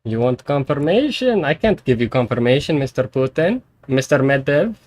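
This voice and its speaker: A man's voice: medium pitch, very animated, moderate pace, a little mellow. A man playing a stubborn negotiating leader. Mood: insistent. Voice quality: formal, insistent, repeats "my friend". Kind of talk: voice-chat roleplay.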